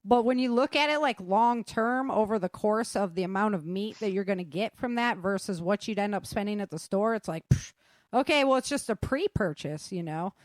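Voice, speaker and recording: A woman's voice: medium pitch, brisk, no room sound. A woman hosting a casual group discussion, speaking in a colloquial, slangy way. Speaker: host.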